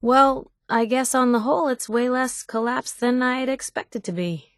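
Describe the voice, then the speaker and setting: A woman's voice: high voice, normal speed, no echo, clean studio sound. A woman playing a wry, inquisitive young reporter companion in a video game, with an American accent and a chatty, sarcastic way of talking. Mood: wry, teasing. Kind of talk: video game companion dialogue, conversational asides.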